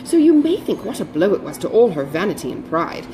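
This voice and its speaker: Woman voicing an animated, gossipy older society lady. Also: mid-range voice, fairly quick, background noise, natural voice. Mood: astonished, gossiping. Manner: breathless, exclamatory, chatty gossip. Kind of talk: dramatised audiobook reading of a classic novel.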